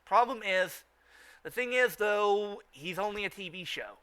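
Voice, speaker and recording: A man with a fairly high, very bright and forceful voice gives informal fan commentary. He speaks haltingly, doubling back to repeat words and phrases as he recaps. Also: lively intonation, clean tone. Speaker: review show host.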